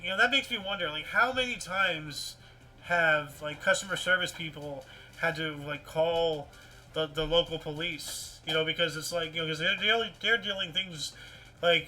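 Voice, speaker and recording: A man with a high-pitched, strikingly bright and forceful voice hosts a casual news stream. He talks in an animated, rambling way, circling back over his phrases as he comments. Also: moderate pace, low-quality recording. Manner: animated, repeats himself, rambling. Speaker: host of a livestream news show. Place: indoors at a computer with webcam.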